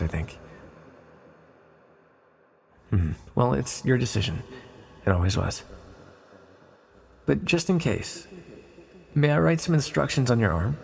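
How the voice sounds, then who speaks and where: An ancient male villain acted by a man for an ASMR roleplay, with a heavily creaky, gravelly voice at a middling pitch. He is courteous and gently persuasive, halting as he pleads, and always keeps something back. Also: a little hiss or hum. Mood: persuasive, resigned.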